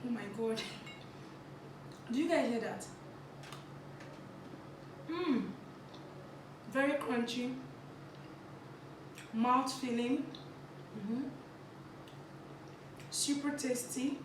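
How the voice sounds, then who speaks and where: A woman's voice: on the high side, drawn-out words, long pauses, recorded from a distance, noisy background. A woman, hosting a casual vlog and chatting while she snacks, giggly and talkative, with crunchy bites between her words. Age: 20s. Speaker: vlog host. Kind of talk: casual talk while eating on camera. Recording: echoey room, set back from the mic.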